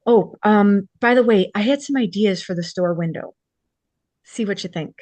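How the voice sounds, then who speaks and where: A woman playing a warm, earnest young businesswoman in a script table read. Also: mid-range voice, normal speed, no echo, lightly acted. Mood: warm, encouraging.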